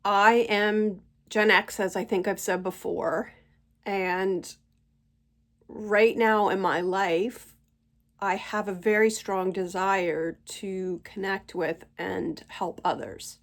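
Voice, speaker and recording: Slow-spoken woman, stuffy from a cold. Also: mid-range voice, steady tone. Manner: gentle, unhurried, thoughtful pauses. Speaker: channel host. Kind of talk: slow reflective spiritual monologue. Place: at home.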